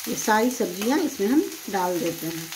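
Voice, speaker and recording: A woman's voice: mid-range voice, expressive, normal speed, background noise. A woman hosting a home cooking channel, explaining each step plainly in her kitchen. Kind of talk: home cooking demonstration voice-over.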